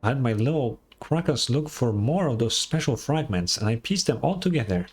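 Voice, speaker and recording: An overconfident schemer from a fantasy game, played by a man reading aloud. The voice sits at a middling pitch with music behind it, breezy and grandiose, forever selling a flawless plan. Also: expressive, moderate pace, darkish tone, breathy edge. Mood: grandiose, confident. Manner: grandiose, breezy, self-assured. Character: boastful, overconfident schemer, self-styled moon-goddess devotee and inventor.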